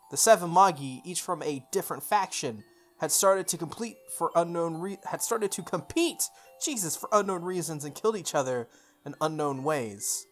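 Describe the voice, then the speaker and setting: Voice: mid-range voice, a bit bright. A game playthrough host expressively reading story text aloud, stumbling and correcting along the way, with off-the-cuff asides.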